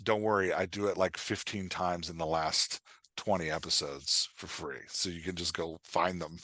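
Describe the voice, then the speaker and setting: A man's voice: low voice, steady tone, moderate pace, pushed and bright, no room sound, clean studio sound. A man speaking as a guest writing coach on a podcast, explaining things in an informal, emphatic way with quoted examples. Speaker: guest author and dialogue coach.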